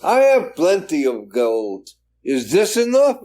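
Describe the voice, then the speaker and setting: A man's voice: high-pitched, wildly swooping pitch, takes it slowly, warm tone, a little hiss or hum, exaggerated voice. A man playing a greedy, blunt, grumbling horned goblin in a dramatic storybook reading. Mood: curious, greedy.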